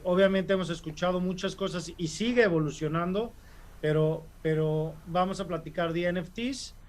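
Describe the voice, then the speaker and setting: A man hosting a webinar over a video call, relaxed and full of "eh" fillers. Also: sits high. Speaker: webinar host.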